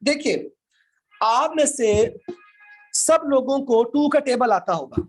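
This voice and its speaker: Man, Pakistani accent, law lecturer, very high-pitched, explanatory, repeats key points, questions the class. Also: lively intonation, slow, soft background music. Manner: explanatory, checks understanding with questions and repetition.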